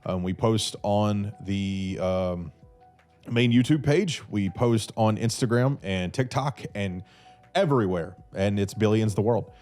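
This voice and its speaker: Man, thirties, podcast host, rambling, stuffy cold, low voice, faint music. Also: a little slow, a little mellow. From North Carolina. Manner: rambling, many fillers and restarts. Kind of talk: casual solo Q&A podcast. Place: small room with brick wall, seated in armchair. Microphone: red studio mic on boom arm.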